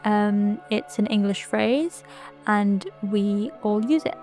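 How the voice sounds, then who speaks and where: A woman speaks at a very unhurried pace and hesitates with small ums. Her voice sits mid-range. Soft music plays faintly behind her. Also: medium pitch. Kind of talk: personal testimony for a video.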